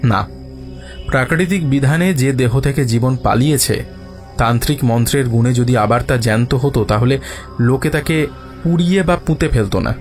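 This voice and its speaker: Man acting a calm, dryly ironic detective over music. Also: mid-range voice, lightly acted. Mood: sceptical, probing. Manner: measured, reasoning, dryly ironic. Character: calm, sharp, self-assured male detective, sceptical and unflappable. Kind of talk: dramatised Bengali detective audio story. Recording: music behind the voice.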